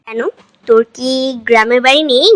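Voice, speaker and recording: A woman's voice: a little high, fairly even intonation, fairly quick, fairly bright tone, no room sound, clean studio sound, natural voice. A woman voicing a cheerful school friend in a cartoon, who eagerly talks up the village. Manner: cheerful, encouraging. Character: cheerful school friend, excited about holidays. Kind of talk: cartoon voice acting.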